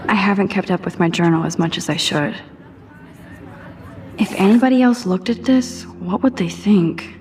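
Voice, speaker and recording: A woman's voice: mid-range voice, moderate pace, darkish tone, far from the mic, a faint crowd, slight background noise, close to an everyday voice. Woman playing a shy, wry teenage girl. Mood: self-conscious. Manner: introspective, understated, wry. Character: shy, self-doubting teenage girl student, quietly sardonic. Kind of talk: video game inner monologue.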